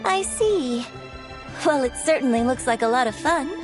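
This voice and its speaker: A woman's voice: high-pitched, lively intonation, moderate pace, over music. A woman playing a gracious, composed young female performer in a video game, polite and patient as she explains.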